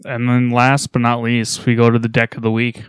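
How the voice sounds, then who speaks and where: Smooth-voiced male podcast co-host, understated. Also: mid-range voice, completely flat delivery, moderate pace, no echo, clean studio sound. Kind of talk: casual show rundown.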